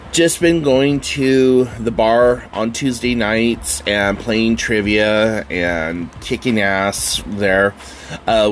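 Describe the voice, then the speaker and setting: Slow-talking, congested male podcast host. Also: medium pitch, slight background noise. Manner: rambling, chatty, with frequent fillers and casual swearing. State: stuffy and nasal from a summer cold. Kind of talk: casual solo podcast chat.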